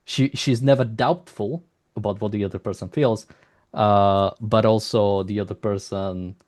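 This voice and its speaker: Low-voiced, halting male interviewer. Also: steady tone, darkish tone. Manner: hesitant, halting, rambling, often restarts sentences. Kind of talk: unscripted interview, long exploratory questions.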